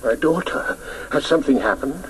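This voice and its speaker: An actor playing an anxious, tender father figure who pleads gently and emotionally in a radio play. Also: medium pitch, lively intonation, normal speed, natural voice. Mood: tender, dismayed. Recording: noisy old radio play recording.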